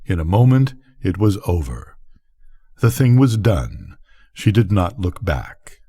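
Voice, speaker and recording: An older man narrates a bedtime story in a lowish, mellow voice. His delivery is husky and moves freely up and down in pitch. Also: very animated, takes it slowly.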